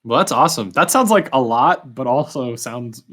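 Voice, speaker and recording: A man's voice: mid-range voice, animated, normal speed, a bit bright. A young man hosting a podcast in casual conversation, informal and rambling, often restarting his sentences. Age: young adult. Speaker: podcast host. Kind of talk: casual chat.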